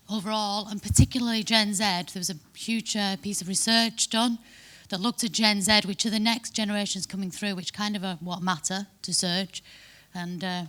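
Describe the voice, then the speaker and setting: A woman's voice: mid-range voice, a bit bright. Chatty Mancunian woman giving a talk. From Manchester, England. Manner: chatty, frequent tag "yeah?" and restarts. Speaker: conference speaker. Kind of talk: conference talk. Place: conference hall.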